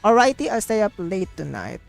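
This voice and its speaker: A woman's voice: medium pitch, big pitch swings, fairly quick, vocal fry, faint music behind. A woman livestreaming a game, chatting casually in a rambling, repetitive, informal way.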